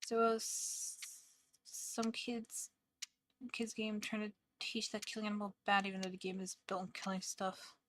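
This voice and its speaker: A woman's voice: mid-range voice, flat delivery, normal speed, fairly bright tone, slight background noise. Young aussie girl streamer rambling while studying, lots of "like", chill casual chat. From Australia. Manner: rambling, chatty, many "like" fillers.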